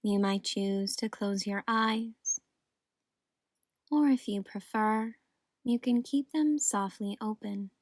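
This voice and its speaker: A woman leads a guided meditation at a very slow, unhurried pace. Her delivery is gentle and soothing, with a middle-range pitch. The recording is close and dry, with no room sound. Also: no room sound.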